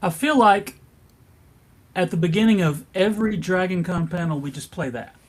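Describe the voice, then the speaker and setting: A man co-hosting a panel in casual chat, with a halting, self-deprecating way of talking. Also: high voice. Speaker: co-host, convention track director.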